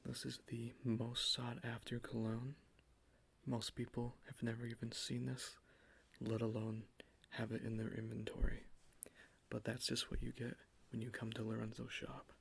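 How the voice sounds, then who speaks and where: Soft, gravelly whispering man. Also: monotone, normal speed. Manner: soft-spoken, drifting between quiet talk and whisper; salesman's pitch. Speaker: ASMR creator playing a cologne salesman. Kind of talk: ASMR roleplay.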